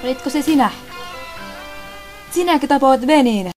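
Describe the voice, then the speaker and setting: A brave young male pup acted by a woman in a fan-dubbed cartoon. The voice sits high and cries out with pleading, vengeful drama. Music plays behind it. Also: expressive, a little mellow. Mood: grieving fury. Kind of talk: fan-dubbed anime acting.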